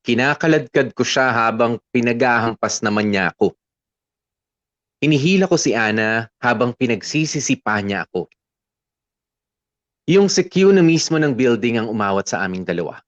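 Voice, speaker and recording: A man's voice: mid-range voice, lively intonation, moderate pace, pauses often, no room sound, highs cut off, close to an everyday voice. A man playing a weary, fed-up boyfriend, narrating his breakup as a scripted confession with English mixed in. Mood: frustrated. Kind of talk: scripted first-person radio drama narration.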